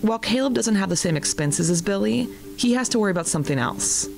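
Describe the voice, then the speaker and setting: A woman giving scripted documentary narration in a measured, explanatory way, with loud music underneath. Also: medium pitch, animated, creaky.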